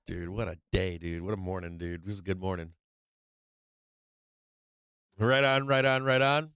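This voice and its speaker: A man's voice: sits low, moderate pace, a bit bright, clean tone, no room sound, studio quality. A man hosting a live stock market stream, casual and slangy, rambling with many fillers and restarts, saying he is losing his voice.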